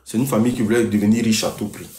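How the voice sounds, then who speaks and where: A man telling a story on a live stream, deliberately repeating his sentences and checking that listeners follow. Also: fairly low pitch, flat delivery, darkish tone. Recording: echoey live-stream room sound.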